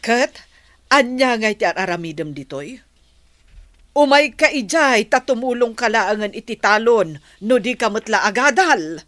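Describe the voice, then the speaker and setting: A woman's voice: on the high side, lively intonation, measured pace, fairly bright tone, dry recording. A woman with an Ilocano accent playing a caring but scolding village mother in a radio drama.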